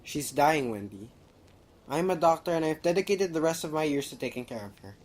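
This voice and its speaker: A man's voice: a little high, animated, fairly quick. A man playing a dutiful, earnest brother and doctor in an amateur audio drama, with formal phrasing. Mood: grave.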